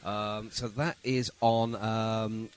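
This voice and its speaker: A man's voice: on the low side, flat delivery, slow pace, clear, resonant tone. A man hosting a podcast in a casual, chatty and jokey style. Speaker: podcast host.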